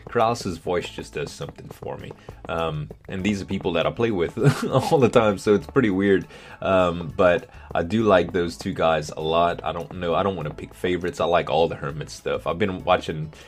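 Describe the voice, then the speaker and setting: A young man with a deep voice, casually answering viewer questions for his gaming channel, relaxed and hesitant with many ums.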